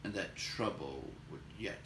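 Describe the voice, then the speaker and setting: A man reading a fantasy novel aloud as an expressive narrator, with dramatic, suspenseful storytelling. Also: deep voice, unhurried. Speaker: storybook reader. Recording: echoey room, distant mic, faint noise.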